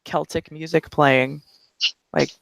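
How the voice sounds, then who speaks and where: A game master narrating a tabletop roleplay scene, rambling with many fillers and pauses. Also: medium pitch, fairly even intonation, vocal fry, fairly bright tone, no room sound, poor audio.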